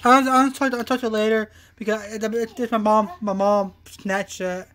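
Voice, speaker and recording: A young adult man chatting casually on a video call, repeating himself in a halting way. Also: high voice, flat delivery, a bit bright. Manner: repetitive, halting delivery. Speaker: amateur vlogger. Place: small room at home. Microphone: phone camera at arm's length.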